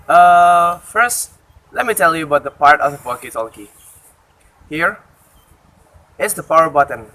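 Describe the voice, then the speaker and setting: A young man giving a halting step-by-step demonstration in simple non-native English, outdoors. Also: on the high side, bright, forceful tone. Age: young adult. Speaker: student demonstrator. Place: outdoors beside a campus building. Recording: faintly noisy outdoor recording.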